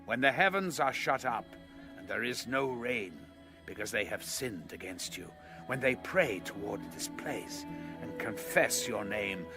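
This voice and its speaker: A man narrating scripture from a script in a measured, solemn manner. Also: lowish voice, animated. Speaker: audio Bible narrator. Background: music.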